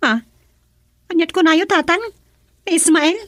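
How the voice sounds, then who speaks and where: A woman playing a young Filipino woman in a romantic family radio drama, with a breathy, husky voice and an emotive delivery. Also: extremely high pitch, fairly even intonation, moderate pace, fairly bright tone, dry recording, studio quality. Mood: indignant.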